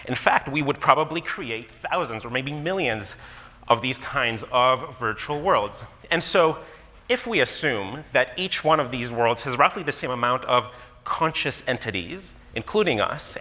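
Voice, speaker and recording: A man in his thirties, originally from Israel, giving a casual, animated conference talk as a guest speaker. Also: medium pitch. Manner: casual, animated presenter with fillers. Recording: long hall reverb.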